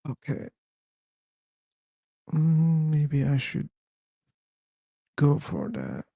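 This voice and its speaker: Slow gravelly male stream host. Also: a little high, wildly swooping pitch, dark tone, no echo, clean studio sound. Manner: slow, idle chatter while waiting, repeating words. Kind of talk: casual live-stream chat. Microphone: computer mic on a video call.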